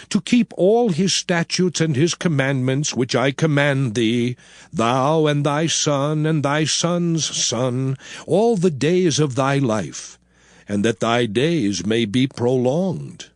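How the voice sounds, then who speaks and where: An actor playing a solemn, commanding prophet and lawgiver in dramatized scripture, with measured oratory. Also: male voice, fairly high pitch. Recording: music bed behind the voice.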